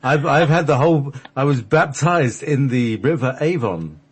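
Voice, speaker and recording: A man's voice: mid-range voice, animated, moderate pace, noisy background. A man hosting a radio show, telling stories casually in a rambling, hesitant, jokey manner. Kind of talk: casual conversational storytelling.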